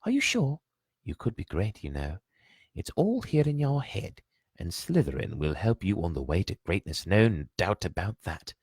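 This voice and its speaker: A man's voice: sits low, sing-song, darkish tone, husky, dry recording, studio quality, full character voice. A man doing a musing old talking hat. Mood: coaxing. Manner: drawn-out, pondering.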